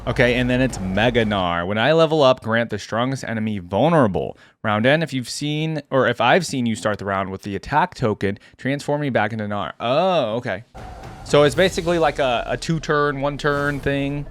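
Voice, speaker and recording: A man's voice: medium pitch, moderate pace, slight background noise. A man hosting a reaction video, giving casual commentary and musing aloud.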